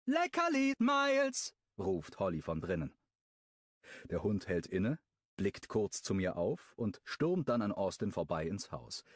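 A low-voiced man reads a first-person romance novel with a wry, expressive delivery and a lot of melodic movement. The sound is close and completely dry, like a studio booth. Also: unhurried, breathy edge, no echo. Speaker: audiobook narrator.

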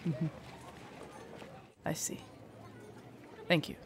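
A woman playing a loyal, gentle royal knight in an audio roleplay, formal, deferential and soothing in her measured speech. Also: a crowd in the background, noisy background. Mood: polite, hushed. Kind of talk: immersive audio roleplay acting.

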